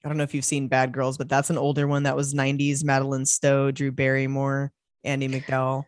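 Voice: mid-range voice, completely flat delivery, brisk, no echo, studio quality. A podcast co-host in casual film chat, rambling and laughing often. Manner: rambling, laughs often, acts out movie quotes.

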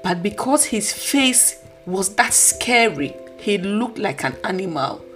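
A woman with a husky voice hosting a podcast, delivering an earnest, preachy motivational talk. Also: mid-range voice, sing-song, slow pace, a bit bright, faint music behind. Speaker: podcast host.

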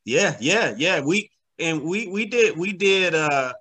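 A man's voice: fairly high pitch, expressive, pushed and bright. A man speaking as an interview guest in casual, slangy conversation, repeating words and feeling his way through sentences. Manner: halting, repeats words, slangy, often says "man" and "you know". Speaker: interview guest, comic book creator.

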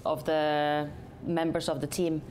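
A woman's voice: sits low, little pitch movement, slow, a little mellow, a little hiss or hum. A woman from Norway, a company chief executive, answering interview questions thoughtfully with many hesitations and restarts.

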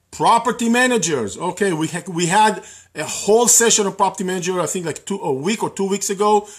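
A man's voice: high-pitched, a bit bright. A man whose first language is Hebrew, giving a casual live-streamed talk on real estate investing, repeating words and using many fillers.

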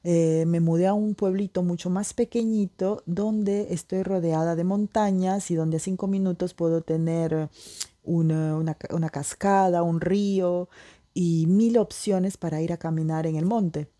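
Low, mellow-voiced woman musing. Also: fairly even intonation, unhurried. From Peruvian Amazon. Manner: meandering, many restarts and fillers. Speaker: vlogger. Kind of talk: casual reflective monologue.

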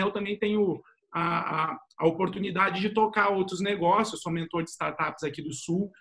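A man's voice: on the high side, fairly bright tone. A middle-aged Brazilian man introducing himself conversationally as a panel guest, in an informal manner with frequent tag questions. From São Paulo state, Brazil. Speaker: panel guest, business innovation professional.

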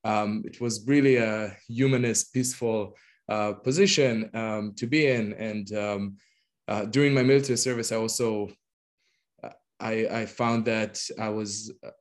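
Israeli guy on a video interview, lowish voice, lots of ums and false starts. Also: steady tone, measured pace. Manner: hesitant, many fillers and restarts. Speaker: guest. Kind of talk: conversational interview.

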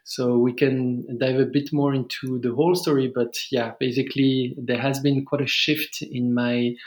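A man with a French accent talks through his work in a measured, reflective way. He pauses often with small fillers and restarts. His voice sits at an ordinary middle pitch. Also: little pitch movement. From France. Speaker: guest, startup founder. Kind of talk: podcast interview over a call.

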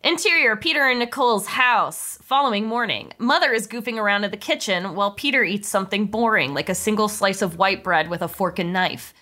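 A woman with a bright, forceful voice reads comedic stage directions. She is playful and drops casual asides into the scripted narration, at a middling pitch. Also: expressive, fairly quick, hardly any pauses. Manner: playful, breaking into amused asides. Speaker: narrator of a script table read.

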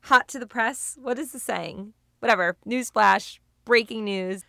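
A young woman co-hosting a podcast, with a raspy, low voice and a playful, joking way of talking. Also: a little slow, bright, forceful tone, no room sound, studio quality. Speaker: podcast co-host. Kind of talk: casual chat.

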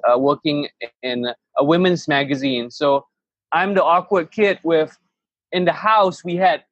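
A man in his thirties from Malaysia, chatting as a podcast guest. His voice sits a bit high and the sound is dull and lo-fi. He rambles eagerly and restarts often. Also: dry recording. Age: 30s. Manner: rambling, enthusiastic, many restarts. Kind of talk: casual interview chat.

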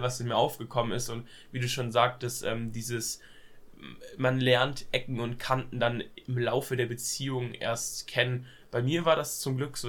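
A man's voice: mid-range voice, a bit bright. A young man with a cold, chatting casually as podcast co-host, hesitant with lots of fillers and youthful slang. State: has a cold. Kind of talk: casual chat.

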